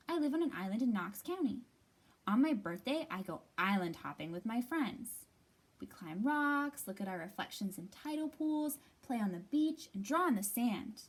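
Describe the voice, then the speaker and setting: A woman reading as an excitable, happy, artistic puffin in a picture book, with a bubbly manner. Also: a little high, husky. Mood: excited.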